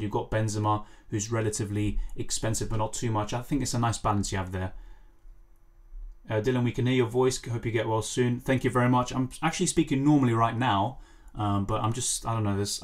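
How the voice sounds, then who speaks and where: Hoarse man streaming with a lost voice. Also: on the low side. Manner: apologetic, rambling, answers chat questions. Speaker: fantasy football streamer. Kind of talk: casual live stream chat, reading comments. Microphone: faulty microphone.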